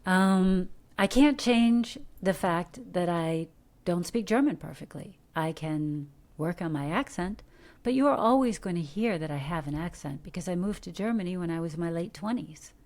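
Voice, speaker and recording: A middle-aged American woman from Detroit, an actor giving earnest, coaching advice in a podcast interview, animated with rhetorical questions. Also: lowish voice, normal speed, hardly any pauses. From Detroit, USA. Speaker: guest, actor. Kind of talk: podcast interview, motivational talk.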